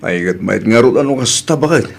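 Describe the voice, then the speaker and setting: Guy acting as a humble farmer husband, ilocano accent, easygoing n polite, mid pitched voice. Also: expressive, a little fast, gravelly. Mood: easygoing, pleased. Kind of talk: Ilocano audio drama acting.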